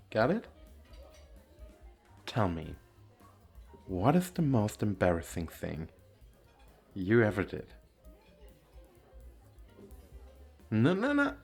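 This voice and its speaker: Playful femboy flirt played by a man for ASMR roleplay: expressive swooping pitch, slow pace, coy, effeminate, teasing. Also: slightly rough, soft background music, heavily acted. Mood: mock-bossy, teasing. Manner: lilting, effeminate, giggly, coy.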